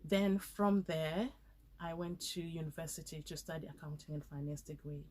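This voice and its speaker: An earnest young woman in her twenties from Zimbabwe tells her story as an interview guest. She speaks in a fairly low voice and brings quoted conversations to life as she goes. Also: little pitch movement, moderate pace, darkish tone. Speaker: guest, accountant. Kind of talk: interview answers.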